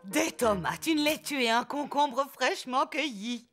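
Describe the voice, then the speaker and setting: A woman's voice: high voice, expressive, unhurried. A woman voicing a caring grandmother pig in a cartoon dub, speaking fondly and coaxingly. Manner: fond, coaxing. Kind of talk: cartoon dubbing. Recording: cartoon soundtrack with music.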